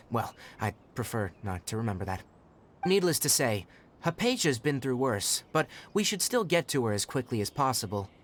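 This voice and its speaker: An actor playing a composed, knowledgeable young male forest ranger in a video game, speaking in an articulate, measured and formal way. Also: medium pitch, fairly bright tone, a little hiss or hum, natural voice. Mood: earnest, calm. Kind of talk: scripted video game voice acting. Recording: background music under the voice. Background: game music.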